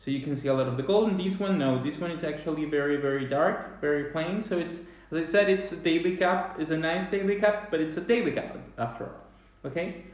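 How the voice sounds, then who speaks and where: A man, hosting a live-streamed tea tasting chat in an animated way with many restarts, recorded indoors at a table on a webcam. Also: on the high side, brisk. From Chile. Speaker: tea company host. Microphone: webcam or phone at table distance. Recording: very echoey room.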